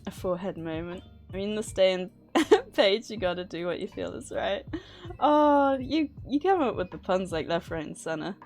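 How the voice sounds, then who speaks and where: A woman with a mid-pitched voice chats casually to her livestream audience. She comes across as nervous and giggly. Music plays behind her as she talks. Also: lively intonation, moderate pace, darkish tone. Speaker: livestream host. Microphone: streaming microphone at a desk.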